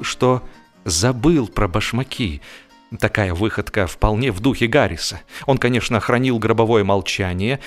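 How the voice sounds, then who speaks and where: A man narrating humorous literature expressively, with a wry, self-important theatrical delivery and dramatic pauses. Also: fairly low pitch. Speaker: audiobook narrator and actor.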